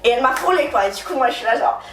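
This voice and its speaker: A young woman casually telling an anecdote in an animated, slangy way, mixing English phrases into her French. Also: fairly high pitch, very fast. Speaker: guest on a talk show. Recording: roomy echo, distant mic, background music.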